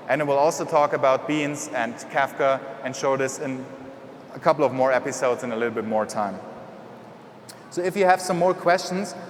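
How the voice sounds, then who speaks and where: A man wraps up a conference talk, hesitant and full of fillers. His voice sits a little high. Long reverb and faint noise from the hall. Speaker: conference presenter. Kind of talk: wrapping up a tech talk.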